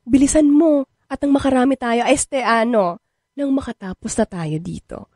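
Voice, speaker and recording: A woman's voice: high-pitched, normal speed, mellow, lightly acted. An actress playing a playful, pushy young Filipino woman in a radio drama, coquettish and wheedling as she teases. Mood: giddy, coaxing.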